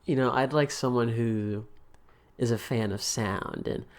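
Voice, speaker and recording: A man's voice: mid-range voice, unhurried, creaky. A young man co-hosting a podcast, talking in casual, rambling banter with lots of restarts and laughs. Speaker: podcast co-host.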